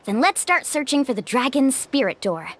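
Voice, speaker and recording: A woman's voice: sits high, big pitch swings, moderate pace, a bit bright, full character voice. An actress playing a friendly, encouraging young woman guide who leads the group in a video game. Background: game music.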